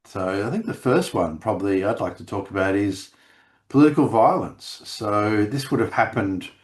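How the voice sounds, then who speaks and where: Croaky man, deep voice. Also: expressive, normal speed. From Australia. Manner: rambling with many restarts. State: croaky from laryngitis. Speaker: podcast co-host. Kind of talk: casual chat. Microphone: video call.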